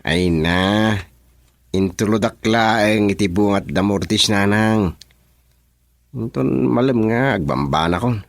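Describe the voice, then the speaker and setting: An actor with a Filipino accent playing a modest, easygoing young farmer in a radio drama. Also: male voice, lowish voice, slow, vocal fry, dry recording, clean studio sound. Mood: reluctant, bashful.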